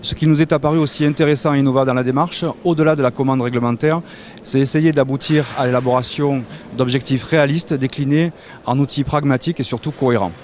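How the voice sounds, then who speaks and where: A man's voice: medium pitch, steady tone, fast talker, darkish tone, a little hiss or hum. A man representing housing landlords delivers a prepared, formal statement fluently, in long, carefully built sentences.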